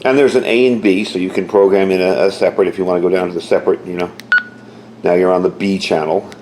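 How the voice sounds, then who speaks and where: Male reviewer, lowish voice, conversational and rambling, roomy sound with faint noise. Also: steady tone, fast talker, a little mellow. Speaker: product reviewer and ham radio hobbyist. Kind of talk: casual product review, demonstrating features. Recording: echoey room with faint background noise.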